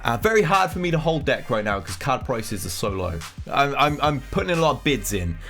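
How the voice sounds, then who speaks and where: A man whose voice is stuffed up and nasal from a cold rambles through casual commentary on gaming news. He sits at a middling pitch and thinks aloud as he goes. Soft guitar music plays faintly underneath. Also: very animated, a little fast. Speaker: gaming content creator.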